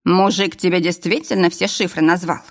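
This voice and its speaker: A woman's voice: on the low side, steady tone, moderate pace. A woman voicing a big, bold, jokey man in an audiobook, with a bantering, boastful manner. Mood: teasing.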